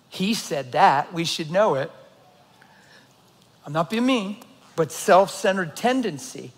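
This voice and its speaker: A pastor in his fifties preaching a sermon, swinging from low, quiet passages to shouting intensity. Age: 50s. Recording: long hall reverb.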